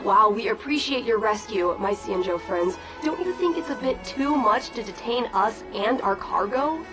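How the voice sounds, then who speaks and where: A woman's voice: on the high side, lively intonation, moderate pace, lightly acted. A woman playing a haughty, indignant female merchant envoy in a video game, with music behind her. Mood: haughty protest. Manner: haughty, protesting, sarcastically polite.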